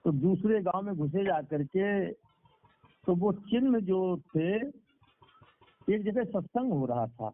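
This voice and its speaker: Higher-voiced male preacher on a phone line. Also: lively intonation, takes it slowly, pauses often. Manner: repeats phrases for emphasis. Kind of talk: devotional sermon with moral storytelling. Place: speaking over a conference call. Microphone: telephone. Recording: tinny phone conference-call line.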